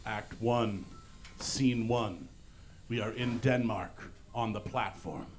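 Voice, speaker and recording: A man's voice: lowish voice, slow pace, a little hiss or hum. A man reading scripted stage directions as narrator of a live radio play.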